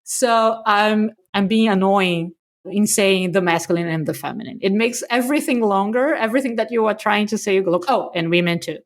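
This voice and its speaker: A woman from Brazil, a native Portuguese speaker, co-hosting a podcast in casual, animated conversation and laughing often. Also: mid-range voice, normal speed. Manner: animated, laughs often, self-mocking asides. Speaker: podcast co-host.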